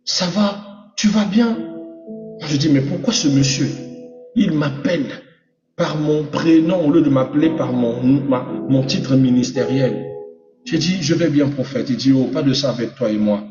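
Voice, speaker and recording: A man preaching a sermon as an apostle, telling a personal testimony and rising to high emphatic peaks as he addresses the congregation. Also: unhurried. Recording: roomy hall reverb, distant mic, dull sound, music behind.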